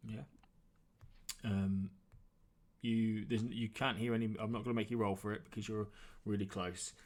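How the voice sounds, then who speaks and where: A man with a fairly low voice acts as game master at a tabletop game. He talks conversationally, feeling his way through descriptions as he makes them up. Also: steady tone, darkish tone. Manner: conversational, improvised, halting descriptions. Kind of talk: narrating a tabletop role-playing game.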